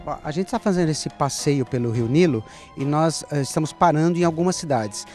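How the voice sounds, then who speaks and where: A man's voice: a little high, a little fast, warm tone, faint music behind. A Brazilian man hosting a travel food show outdoors, chatting easily with a local guide in a Brazilian Portuguese accent. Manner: chatty, easygoing, asks leading questions. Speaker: cooking show host. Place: outdoors at an ancient temple.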